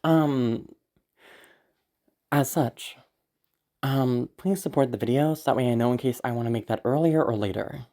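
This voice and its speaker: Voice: medium pitch, unhurried, long pauses, mellow. Anxious, sick-sounding rambler with a sore throat. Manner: anxious, apologetic, hesitant; swears casually. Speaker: audio content creator. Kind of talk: casual rambling update to listeners.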